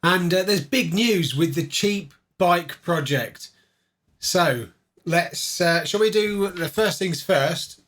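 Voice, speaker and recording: Higher-pitched man hosting a casual livestream. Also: animated, takes it slowly, a bit bright. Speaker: host of a livestream channel. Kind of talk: casual livestream greeting to viewers.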